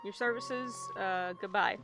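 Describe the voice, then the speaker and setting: A woman livestreaming a game with casual, expressive commentary full of swearing and exaggerated dismay. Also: mid-range voice, measured pace. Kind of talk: casual gaming commentary with read-aloud game text. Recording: game music faintly behind. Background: video game music.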